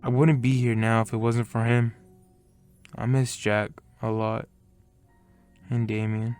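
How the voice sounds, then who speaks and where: A man's voice: mid-range voice, little pitch movement, measured pace, darkish tone, clean tone, faint music behind, natural voice. A man acting in an amateur audio drama as a level-headed, caring teammate in a battle game, speaking plainly and soberly. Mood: sad, reassuring.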